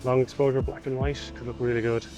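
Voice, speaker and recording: A man's voice: mid-range voice, little pitch movement, fast, over music. Wry Irish man narrating a travel vlog. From Ireland. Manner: wry and self-deprecating, with the odd swear. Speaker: vlogger and film photographer.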